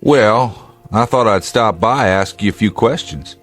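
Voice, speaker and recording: An actor playing a steady, plain-spoken state trooper in a radio drama, measured and dry in manner. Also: male voice, on the low side, faint music behind. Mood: polite, probing.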